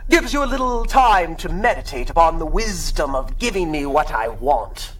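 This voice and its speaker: An actor playing a gloating, sadistic supervillain in a pulp radio drama, with mocking, drawn-out theatrical delivery. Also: male voice, on the high side, very animated, a bit bright, distant mic. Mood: taunting, gleeful. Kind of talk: radio drama acting in 1930s pulp style.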